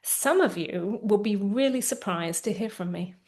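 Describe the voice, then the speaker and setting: A woman therapist guiding a tapping exercise, explaining gently with frequent fillers, then leading phrases to repeat. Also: mid-range voice, moderate pace. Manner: gentle, instructive, many fillers. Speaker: therapist and coach. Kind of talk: guided tapping session.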